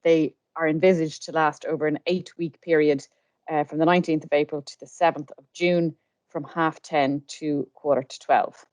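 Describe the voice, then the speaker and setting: Irish female podcast host, deep register, informative and conversational, very dry sound. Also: steady tone, normal speed, a little mellow, no echo. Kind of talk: conversational interviewing with informational announcements.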